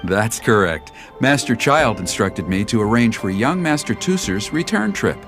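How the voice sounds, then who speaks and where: A man playing a formal, courteous, deferential escort with a measured manner in a video game. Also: lowish voice, big pitch swings. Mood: formal, dutiful. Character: formal, deferential male escort serving a noble household. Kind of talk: English game dub acting. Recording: background music under the voice.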